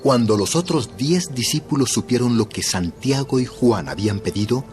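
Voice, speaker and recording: Male Bible story narrator over clear music. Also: medium pitch, expressive, normal speed. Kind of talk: dramatized scripted Bible narration.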